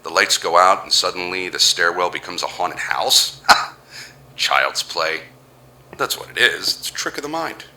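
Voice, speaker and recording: An actor playing a haunted, remorseful business tycoon in an audio horror drama, with a brooding, deliberate delivery. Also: male voice, deep voice, animated, full character voice. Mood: uneasy, musing. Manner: brooding, deliberate, halting when shaken. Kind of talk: dramatic first-person horror narration and dialogue. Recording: loud music under the voice. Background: dramatic music.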